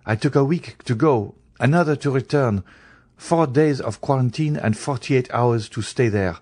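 Audiobook role: earnest young journalist , read by a man, grave and compassionate, formal, deliberate phrasing, loyal friend. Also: medium pitch, darkish tone, no room sound, lightly acted. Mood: earnest, insistent. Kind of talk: dramatised audiobook reading.

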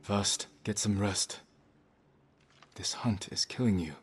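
An actor playing a calm, earnest young samurai in a video game, speaking in a measured, serious way. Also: male voice, lowish voice, breathy edge. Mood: gently concerned. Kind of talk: dramatic video game acting.

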